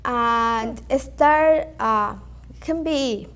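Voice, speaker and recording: A woman from Venezuela with a Venezuelan Spanish accent, answering interview questions carefully and haltingly. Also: a little high, a bit bright. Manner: careful, halting, with fillers and restarts. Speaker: real estate agent guest.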